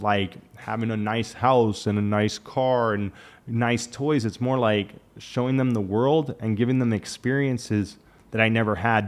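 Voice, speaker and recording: A man in his late thirties talking frankly to camera in a casual, reflective monologue, with lots of "like" in his speech. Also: mid-range voice. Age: 30s. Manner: frank and conversational, peppered with "like". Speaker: vlogger.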